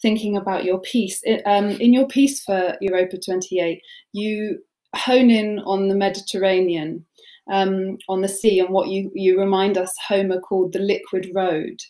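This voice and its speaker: A woman's voice: mid-range voice, steady tone, moderate pace, dark tone. A middle-aged woman moderating a panel over a video call, thoughtful and hesitant with many restarts. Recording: echoey room on video call.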